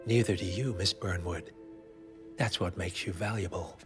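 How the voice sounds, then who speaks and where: A man playing a calm, manipulative male power broker in a game cutscene, quietly persuasive. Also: sits low, moderate pace, loud music behind the voice, lightly acted.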